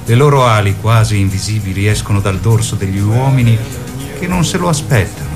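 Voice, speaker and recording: A man's voice: on the low side, expressive, brisk, music in the background. A man reading theatrical scripted narration in a radio play.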